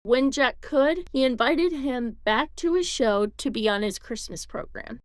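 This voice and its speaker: A woman's voice: high-pitched, lively intonation, unhurried, no echo. Woman narrating, dry close sound. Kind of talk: scripted documentary narration.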